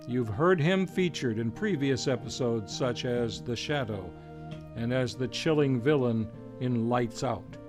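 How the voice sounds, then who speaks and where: A man announcing an old-time style radio drama in scripted, formal introductions. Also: medium pitch.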